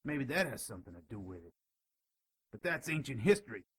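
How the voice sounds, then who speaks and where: Cocky demon snitch for radio play: bright, rough-edged, sleazy, indignant wisecracker. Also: male voice, medium pitch, sing-song, brisk. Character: whiny, cocky, wisecracking male demon informant. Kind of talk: audio drama acting.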